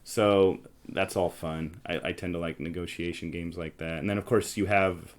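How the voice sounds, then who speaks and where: A man's voice: deep voice, steady tone, normal speed, slight background noise. A man hosting a podcast, chatting casually about board games and acting out little quotes as he goes. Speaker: podcast host. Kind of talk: casual board game chat.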